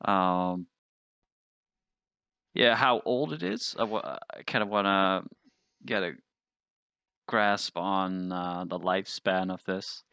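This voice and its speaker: A man's voice: deep voice, slow pace, gravelly, long pauses, bright, forceful tone, clean tone, no room sound, studio quality. A man taking part in a tabletop game as a player, chatting casually. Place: close, dry mic in an online game session.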